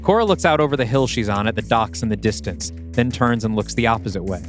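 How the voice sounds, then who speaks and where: A man's voice: on the low side, animated, fast talker, faint music behind. A man hosting a podcast, reading scripted scene directions as the narrator of an audio play.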